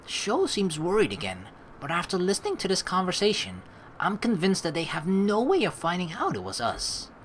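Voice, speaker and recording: Lively, low-voiced woman game streamer. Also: normal speed, slight background noise. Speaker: let's play streamer. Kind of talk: reading game text aloud with chatty commentary.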